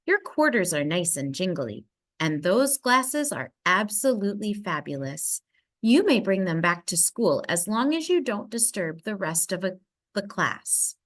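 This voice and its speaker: A woman's voice: medium pitch, very animated, measured pace. A woman voicing a kindly, easygoing male schoolteacher in a storybook, with an encouraging, emphatic delivery.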